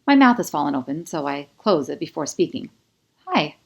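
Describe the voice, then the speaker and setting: Female audiobook narrator, lower-pitched, first-person fiction read with expressive, confiding delivery. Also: fairly quick, creaky, husky. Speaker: author narrating own audiobook.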